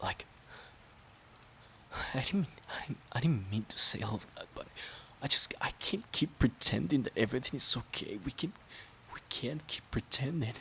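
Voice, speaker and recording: A man acting in an emotional audio drama as an exhausted, resentful boyfriend, halting and pleading with a breathy voice that often drops close to a whisper. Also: mid-range voice, expressive, a bit bright, slight background noise, poor audio. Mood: resigned, regretful. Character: exhausted, resentful boyfriend at his breaking point.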